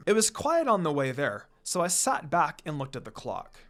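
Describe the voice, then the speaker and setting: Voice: mid-range voice, wildly swooping pitch, moderate pace, fairly bright tone. A channel host reads fan fiction expressively, with a mid-range voice that moves up and down a lot. The style is casual storytelling, with music running underneath. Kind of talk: expressive first-person story narration, casual asides. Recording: background music.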